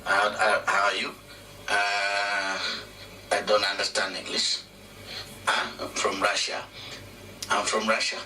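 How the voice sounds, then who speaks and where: A man voicing a leering foreign man who speaks halting, broken English in a slow, deep voice. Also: monotone, pushed and bright, exaggerated voice. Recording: echoey and distant with noise.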